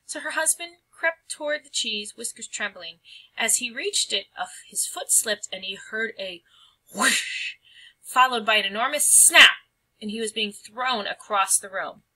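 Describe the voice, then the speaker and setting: A woman's voice: a little high, lively intonation, unhurried, bright, forceful tone, breathy edge, low-quality recording. A woman reading a picture book aloud as a storyteller, with dramatic, emphatic phrasing.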